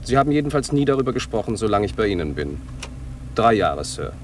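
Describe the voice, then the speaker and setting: An actor playing a formal, deferential English butler and chauffeur in a German radio crime drama, speaking politely and correctly. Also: male voice, on the low side, very animated, quick pace, slight background noise. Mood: dutiful, composed. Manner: polite, stiffly correct, clipped replies.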